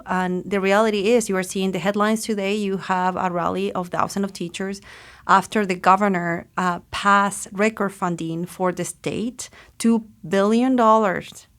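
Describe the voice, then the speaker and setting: A woman from Chile in her thirties, speaking as a guest on an interview podcast with emphatic passion. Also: mid-range voice. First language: Spanish. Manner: passionate, emphatic, with some restarts.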